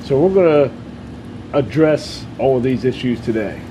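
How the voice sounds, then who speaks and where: A man's voice: medium pitch, lively intonation, moderate pace, very noisy. A man who is losing his voice, chatting casually about his farm and woodstove, laughing between remarks. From Ohio. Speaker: homestead vlogger. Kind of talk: casual vlog walkthrough. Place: farm outdoors and basement.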